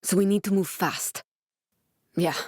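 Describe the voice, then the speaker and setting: A actress playing a woman scientist in a video game, guarded and earnest. Her delivery is measured and clinical, with a dry edge. Her medium-pitched voice sits in a very dry, close recording with no room sound. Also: steady tone, darkish tone, no room sound, natural voice. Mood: dry, matter-of-fact. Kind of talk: video game character voice acting.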